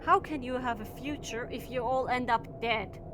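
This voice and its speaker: Woman as blunt, higher-voiced, fed-up captain. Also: moderate pace, noisy background, lightly acted. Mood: defiant, fed up. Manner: curt, blunt, dismissive. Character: Captain Onowa, blunt, fed-up, defiant female shuttle captain. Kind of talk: audio drama acting.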